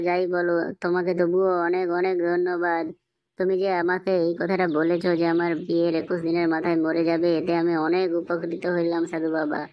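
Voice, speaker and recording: A woman's voice: fairly low pitch, dry recording, lightly acted. A woman voicing a hapless, pleading young male swindler in a Bengali comedy cartoon. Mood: cheeky, protesting. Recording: dull lo-fi, very dry cartoon dub.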